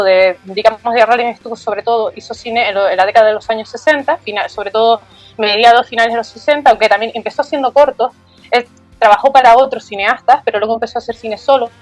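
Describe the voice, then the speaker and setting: A woman guest talking about film, thoughtful and full of fillers and tag questions. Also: a little high. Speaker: guest, art historian. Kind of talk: conversational film talk.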